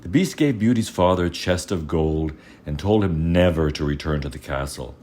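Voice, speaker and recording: A man reading a fairy tale aloud as storyteller, measured and expressive in his scripted narration. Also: deep voice, slight background noise.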